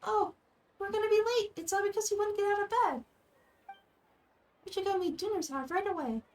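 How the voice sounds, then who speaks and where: Small floating game sidekick, female and childlike, played by a woman: very high, squeaky, whiny, exclamatory. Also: fairly quick, far from the mic. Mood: excited, fussy. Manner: whiny, exclaiming, refers to self in third person.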